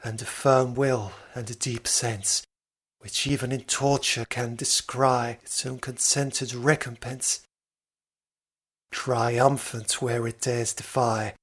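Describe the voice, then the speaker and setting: Slow, slightly husky man reciting poetry. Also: mid-range voice, fairly even intonation, fairly bright tone. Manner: measured, solemn, deliberate phrasing. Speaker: poetry reader.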